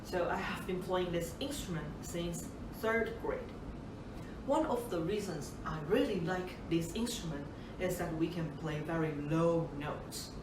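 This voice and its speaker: A woman from Taiwan, a bassoonist, gently introducing her instrument to schoolchildren. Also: expressive, a little slow. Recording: roomy, distant, noisy.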